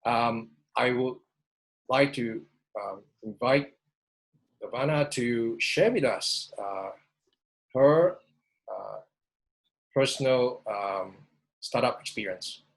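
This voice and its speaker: A man with a mid-pitched voice hosts a webinar panel as moderator. He is formal and courteous, feeling his way through phrases in a halting manner. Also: lively intonation, poor audio. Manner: polite, halting.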